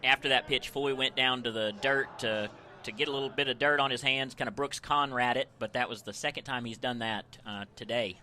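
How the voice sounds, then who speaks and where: American male color analyst for live baseball radio, bright tone, informal and folksy conversational delivery. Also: medium pitch, fairly even intonation, a little fast, a little hiss or hum. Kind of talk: conversational live sports commentary.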